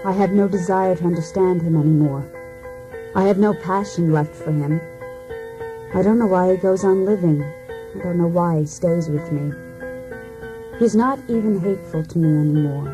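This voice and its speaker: An actress playing a disillusioned wife in a poetic radio drama, speaking in a measured, introspective, theatrical manner. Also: female voice, on the low side, close to an everyday voice, rough recording. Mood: indifferent, weary. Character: disillusioned, cool, self-searching wife in a failed marriage. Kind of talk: poetic monologue in a radio play. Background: music.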